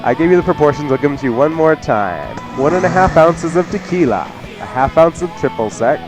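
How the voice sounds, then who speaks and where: Casual male TV chef, rapid and chatty with a joking streak, music under the talk. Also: fairly high pitch, expressive. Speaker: cooking show host and chef. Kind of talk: casual cooking demonstration chat.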